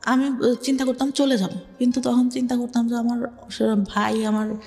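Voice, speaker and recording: A young woman with a Bangladeshi Bengali accent tells her life story to an interviewer, speaking softly and hesitantly with many filler words. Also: on the high side, flat delivery, moderate pace, big-room sound. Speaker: interview guest. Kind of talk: personal life story in conversation.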